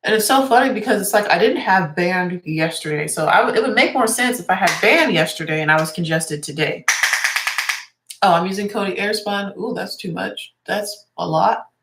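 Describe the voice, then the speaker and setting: A woman's voice: mid-range voice, lively intonation, moderate pace, noisy background. A young woman with a congested, stuffy voice, chatting casually and rambling as she does her makeup. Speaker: beauty vlogger and teacher. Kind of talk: casual get-ready-with-me chat. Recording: echoey room.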